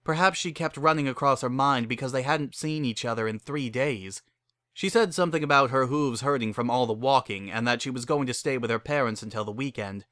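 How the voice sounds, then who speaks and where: A man narrates a story in a dramatic reading style. His voice is mid-pitched, bright and forceful, recorded dry without room sound. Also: fairly quick, no echo.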